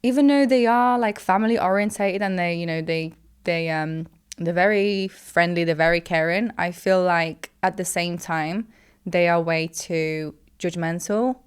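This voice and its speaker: A woman's voice: on the low side, measured pace, clear, resonant tone. A young woman from Poland, a guest in a casual interview, chatting in a rambling way with many fillers.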